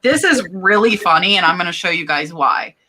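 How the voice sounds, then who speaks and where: A woman's voice: lowish voice, animated, a little fast, noisy background. A woman from Florida hosting a live stream chat, talking casually, laughing and reading out viewer comments. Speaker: host.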